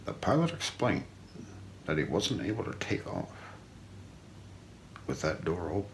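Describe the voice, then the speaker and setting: A middle-aged man narrates a mystery in an ASMR documentary style. His voice is very low and creaky, with intonation that swings widely from phrase to phrase. He keeps a measured, solemn storytelling delivery. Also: big pitch swings, a little hiss or hum. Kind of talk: ASMR scripted narration, true-crime documentary role play. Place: small indoor room.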